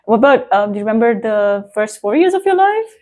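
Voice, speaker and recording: A woman's voice: fairly high pitch, big pitch swings, quick pace, darkish tone. Friendly woman host asking questions. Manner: friendly, with many short listening replies. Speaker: interview host. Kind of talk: casual interview.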